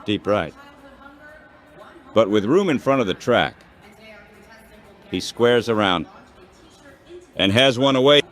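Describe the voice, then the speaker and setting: A man's voice: lowish voice, lively intonation, takes it slowly, long pauses, a bit bright. A man's synthetic text-to-speech voice calling baseball play-by-play in short, clipped phrases. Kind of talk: baseball radio play-by-play commentary.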